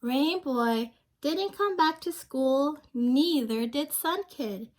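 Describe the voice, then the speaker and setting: A woman's voice: high-pitched, animated, takes it slowly. A young woman reading a storybook aloud to children, speaking simply and expressively and prompting her listeners with questions. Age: young adult.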